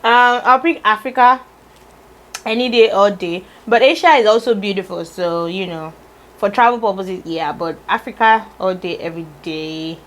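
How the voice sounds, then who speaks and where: A woman vlogger reading out tag questions in a casual, teasing chat with her husband. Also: medium pitch. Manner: playful, teasing, drawn-out musing.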